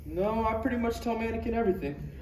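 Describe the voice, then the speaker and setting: A man's voice: very high voice, animated, quick pace, a little mellow. A man playing an anxious, earnest Jedi master, hesitant and flustered, in a read-through of a parody script. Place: room at a table read. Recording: roomy distant recording with clear noise.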